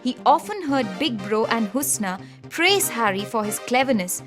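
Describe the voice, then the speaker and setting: A woman narrates a scripted story for children. Her voice sits fairly high, and music plays clearly behind her throughout. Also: lively intonation, normal speed.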